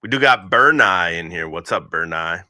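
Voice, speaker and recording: A man's voice: low-pitched, animated, moderate pace, sharp tone, dry recording, clean studio sound. A man from Minnesota hosting a stream, chatting in a laid-back, slangy, joking way. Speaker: stream host. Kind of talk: casual chat.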